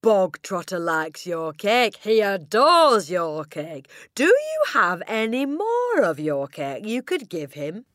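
A tyrannical storybook headmistress. She is theatrical and menacing, with wide swings in pitch and drawn-out, barked emphasis. The recording is close and dry. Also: sing-song, no echo, full character voice. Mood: commanding. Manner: booming, barking insults with drawn-out emphasis. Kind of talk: audiobook character acting.

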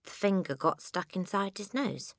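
A woman voicing a little English girl, calm, soft and innocent-sounding but quietly cheeky, in an audiobook. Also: mid-range voice, normal speed, no echo, studio quality, voice pushed up, natural voice. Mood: matter-of-fact. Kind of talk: dramatised audiobook character.

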